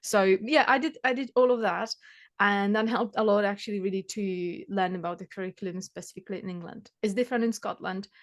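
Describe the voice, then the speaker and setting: A woman in her thirties from the Czech Republic chats easily about her tutoring work. Her first language is Czech. She talks at a middle pitch, with frequent fillers, restarts and quick laughs. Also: steady tone. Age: 30s. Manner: chatty, many fillers and restarts, laughs often. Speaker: maths tutor. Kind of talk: casual interview chat.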